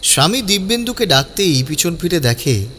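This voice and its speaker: A man's voice: a little high, very animated, moderate pace, bright, forceful tone, slight background noise. A man narrating a scripted story in a dramatic audiobook style. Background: background music.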